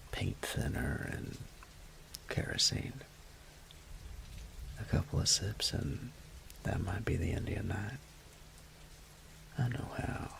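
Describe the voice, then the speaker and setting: A very gravelly, low-voiced Southern guy acted out by a man plays the flirty roommate in an intimate audio roleplay. He drawls slowly and half-whispers, teasing as he goes. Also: heavily acted. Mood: playful, inviting. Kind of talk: intimate roleplay audio acting, close and conversational.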